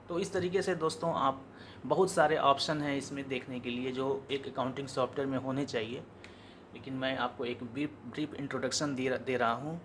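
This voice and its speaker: An Indian man giving an informal step-by-step software tutorial, explaining as he goes and repeating his instructions. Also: medium pitch, slight background noise. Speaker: software demonstrator.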